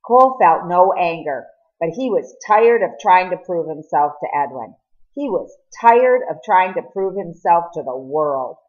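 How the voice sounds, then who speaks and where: A woman's voice: on the low side, expressive, a little slow, a little mellow, lo-fi sound. A woman reading a storybook aloud as scripted audiobook narration. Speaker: audiobook reader.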